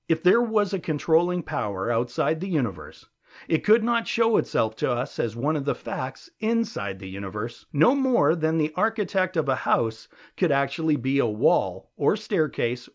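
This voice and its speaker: A man with a slightly high voice reads a reasoned essay as an audiobook narrator. His delivery is measured and emphatic, with very lively rises and falls in pitch to drive each rhetorical point. The recording is somewhat muffled and lo-fi. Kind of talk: scripted audiobook reading of an essay.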